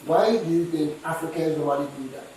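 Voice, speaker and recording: A man's voice: on the high side, brisk, warm tone, slight background noise. Distant man in echoey kitchen. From Ghana. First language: Ghanaian language. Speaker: cooking competitor. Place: rental apartment kitchen.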